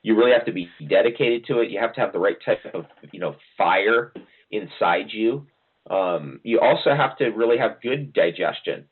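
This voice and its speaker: A man's voice: lowish voice, moderate pace, breathy edge. A middle-aged man from New Jersey, a guest talking casually and rambling with many ums and restarts. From New Jersey, USA. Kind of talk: casual interview answers. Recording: phone-like narrow audio.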